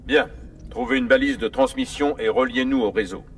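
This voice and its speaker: A bad-tempered military captain giving orders in a sci-fi game. The voice is gravelly and swings widely in pitch, sounding distant from the mic. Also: male voice, big pitch swings, normal speed, a little hiss or hum, heavily acted. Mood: stern, commanding. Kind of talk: video game voice acting.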